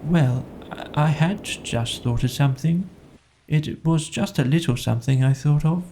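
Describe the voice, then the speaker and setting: A friendly, simple-minded storybook bear, as a man acts him out, talks slowly and mildly. He muses and hesitates gently, with some creak in the voice. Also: on the high side, slight background noise, rough recording. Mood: musing. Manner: mild, musing, hesitant. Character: slow-witted, gentle, friendly male toy bear from a children's storybook.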